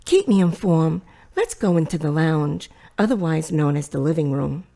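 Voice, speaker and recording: Woman acting a fussing mother, swooping, creaky voice. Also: deep voice, big pitch swings, normal speed, dark tone, heavily acted. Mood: pushy, motherly. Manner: fussing, maternal, probing. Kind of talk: audio drama acting.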